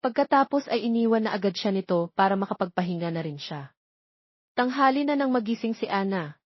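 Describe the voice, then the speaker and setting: A woman's voice: medium pitch, expressive, brisk, dry recording, lo-fi sound. A woman narrating a scripted love story as an audiobook reader.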